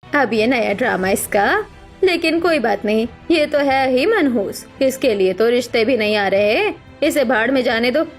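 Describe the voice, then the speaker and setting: A woman's voice: on the high side, lightly acted. A woman voicing a harsh, status-obsessed middle-aged mother in a cartoon story, nagging with dramatic scorn. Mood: scornful, scheming. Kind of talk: Hindi cartoon story voice acting. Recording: music behind the voice.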